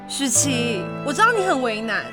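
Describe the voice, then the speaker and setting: A woman acting an insecure young girlfriend in an audio drama, with a Taiwanese Mandarin accent and an expressive delivery. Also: fairly high pitch, moderate pace, over loud music. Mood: sad, resigned. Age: young adult.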